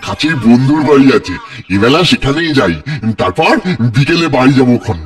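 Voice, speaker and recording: Male actor's simple greedy villager, lively sing-song swings, exaggerated, easily startled, children's cartoon, loud music underneath. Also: mid-range voice, brisk, lightly acted. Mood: chatty. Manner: exaggerated, talks to himself. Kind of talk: Bengali children's cartoon folk tale acting.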